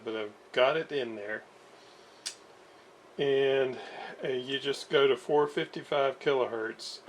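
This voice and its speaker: Male repair-video host, unhurried and halting, mid-pitched, self-deprecating, off the cuff, with noise behind him. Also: poor audio. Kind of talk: casual unscripted workbench commentary. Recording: clear background noise.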